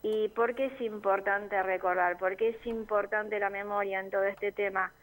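A woman's voice: mid-range voice, steady tone, moderate pace, rough recording. Argentine woman, mid-range voice, earnest, explanatory, radio interview guest. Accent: Argentine Spanish. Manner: earnest, explanatory, with frequent fillers. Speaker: neighborhood assembly member, guest. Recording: community radio studio.

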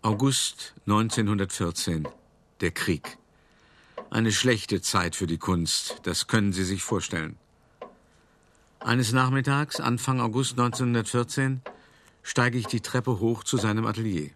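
An actor playing a self-important older art dealer who tells of his past in an expressive, articulate, wry monologue for a radio play. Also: lowish voice, measured pace, slight background noise. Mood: wry narration. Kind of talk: theatrical first-person monologue, storytelling.

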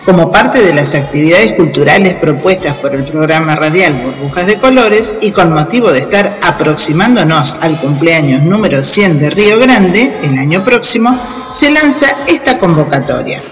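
High-pitched Argentine man in long reverb. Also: expressive, normal speed, pushed and bright. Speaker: children's radio program presenter. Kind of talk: scripted announcement addressed to children. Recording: long reverb with music underneath.

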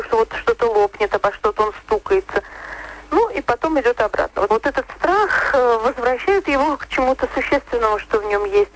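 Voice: mid-range voice, slight background noise, rough recording. A person reflecting casually and thoughtfully, searching for words while speaking.

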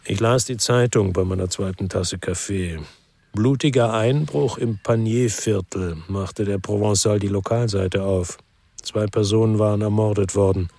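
Male lead: middle-aged ex-cop narrating radio noir, husky, lowish, close dry sound, wry and contented. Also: normal speed, dark tone, no echo. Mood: contented, reflective. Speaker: first-person narrator. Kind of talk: scripted radio crime drama.

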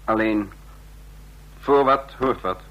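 An actor playing a cold, calculating intelligence chief who speaks formally and deliberately in a radio drama. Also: male voice, lowish voice, muffled recording. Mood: cold, commanding. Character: cold calculating intelligence chief, narrating his own downfall. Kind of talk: radio drama acting and first-person narration. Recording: vintage radio play with faint hum.

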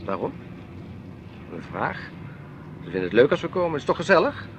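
An actor playing an easygoing but dismissive, evasive husband in a naturalistic radio play, with a Netherlands Dutch accent. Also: male voice, mid-range voice, big pitch swings, moderate pace, muffled recording. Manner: dismissive, conversational. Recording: noisy outdoor street ambience with traffic.

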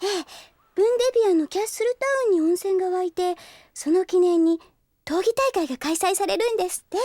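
A spirited young girl, with an extremely high voice and an expressive, girlish delivery straight out of anime radio drama. The sound is dry and close. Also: fairly quick, no room sound. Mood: chatty, teasing. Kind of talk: Japanese anime-style audio drama acting.

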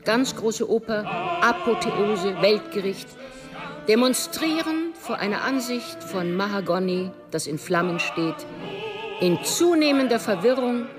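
A woman reading a scripted synopsis as a radio narrator, with dramatic, emphatic and deliberate phrasing. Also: medium pitch, lively intonation, over music.

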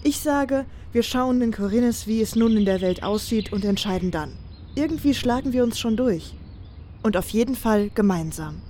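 A woman acts out a young, caring companion in a fantasy audio drama. Her voice is soft, mellow and relaxed, affectionate yet resolute. Birdsong and a little background noise surround her. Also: a little high, normal speed, lightly acted.

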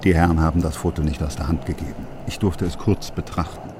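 Very deep-voiced hushed surgeon. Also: male voice, fairly even intonation, quick pace, background noise. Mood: urgent. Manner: urgent, hushed, pleading. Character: secretive worried brain surgeon father. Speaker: brain surgeon.

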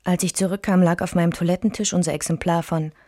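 An actress playing a reflective, wounded woman who recalls her married life in measured, intimate first-person radio drama narration. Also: lowish voice, fairly even intonation, warm tone, no echo, studio quality, close to an everyday voice.

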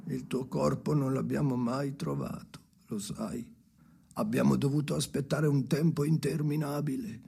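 Gravelly, haunted, restless soul. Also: male voice, medium pitch, fairly even intonation, exaggerated voice. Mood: brooding, confiding. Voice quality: gravelly, creaky. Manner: theatrical, halting, obsessive, swinging from murmurs to shouts. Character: Henry, haunted, restless man talking to his dead father. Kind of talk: avant-garde radio drama monologue.

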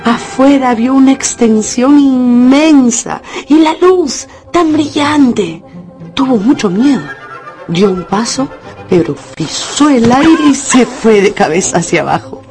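A woman narrating a radio novel as an expressive, dramatic storyteller. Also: high-pitched, slow, faint music behind.

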